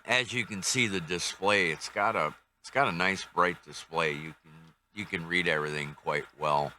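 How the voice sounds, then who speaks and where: An older man giving a casual hands-on product review, thinking aloud in an unhurried, tentative way. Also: low voice, pushed and bright, slight background noise. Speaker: radio reviewer.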